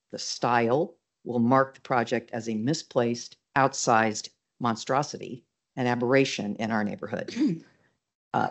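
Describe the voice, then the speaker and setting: A man reading a prepared statement at a public hearing, measured but indignant, into a podium microphone in a meeting room. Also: mid-range voice. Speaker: public commenter.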